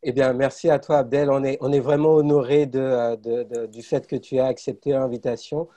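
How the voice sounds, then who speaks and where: A man's voice: mid-range voice, steady tone, fairly quick, warm tone. A man co-hosting a podcast, introducing the guest and asking questions in an upbeat way, often stumbling over repeated words. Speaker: podcast co-host. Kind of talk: hosting an interview.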